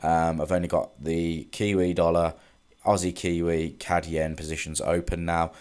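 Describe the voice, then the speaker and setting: Man with a stuffy head cold, low voice, loose chart recap. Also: moderate pace, clean tone. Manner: relaxed, hesitant, many ums and restarts. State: congested from a cold. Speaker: forex trading analyst. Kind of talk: casual market recap with charts.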